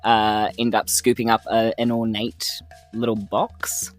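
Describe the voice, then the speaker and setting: A woman's voice: a bass voice, little pitch movement, a little slow, soft background music. A woman with a New Zealand accent chatting informally and playfully as a player in a tabletop roleplaying game. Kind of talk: casual roleplay chat.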